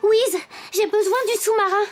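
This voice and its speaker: Une femme qui joue un petit garçon pantin de dessin animé, serviable, enjoué et plein d'entrain. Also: female voice, very high voice, steady tone, normal speed. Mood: cheerful, eager. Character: cheerful, helpful little wooden toy boy who drives. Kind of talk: cartoon dub acting. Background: loud music.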